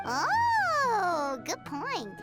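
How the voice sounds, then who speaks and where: Super high squeaky little fairy sidekick, brash and bubbly anime game voice, loud music behind. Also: female voice, huge pitch swings, drawn-out words, heavily acted. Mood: excited. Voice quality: squeaky, piercing childlike voice. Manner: speaks of self in third person, exclamatory. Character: small childlike fairy companion, bubbly, food-loving, brash.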